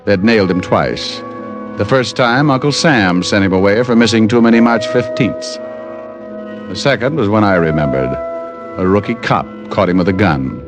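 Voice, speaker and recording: A man's voice: fairly low pitch, moderate pace, lightly acted. An actor playing a dry-witted male private detective who also narrates, in a 1950s radio drama. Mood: narrating. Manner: dry, wisecracking, laconic. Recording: old radio broadcast with faint music.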